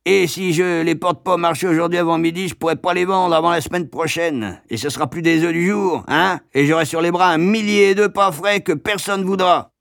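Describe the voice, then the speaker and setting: A man's voice: a little high, brisk, vocal fry, hardly any pauses, a bit bright, dry recording, studio quality, voice pushed up. A man voicing a grumbling cart driver who sells eggs, with a colloquial, complaining manner, in a storybook. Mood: aggrieved. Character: grumbling moustached cart-driving male egg seller.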